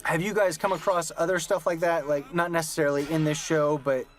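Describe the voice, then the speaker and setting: A man's voice: on the high side, steady tone, fairly quick, soft background music. A man hosting a commentary track, asking guests questions and musing at length with lots of fillers. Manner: thoughtful, rambling, many fillers. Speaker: commentary host. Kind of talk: commentary chat.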